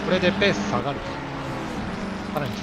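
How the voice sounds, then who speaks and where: A high-pitched man, natively Japanese, chats casually as he races. His talk comes quickly, with clear race car noise behind him. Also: lively intonation. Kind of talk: casual chat while racing. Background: race car engines.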